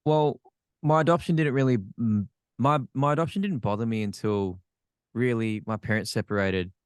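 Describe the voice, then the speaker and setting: A man's voice: mid-range voice, moderate pace, clean tone, dry recording, clean studio sound. An Australian man, a podcast guest, telling his life story frankly with self-deprecating humor. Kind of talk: candid personal storytelling.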